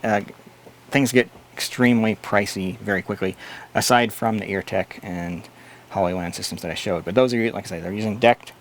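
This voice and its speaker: Low-voiced man hosting a tech livestream. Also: moderate pace, a little hiss or hum. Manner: opinionated, blunt. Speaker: host answering live chat questions. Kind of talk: casual tech explainer livestream.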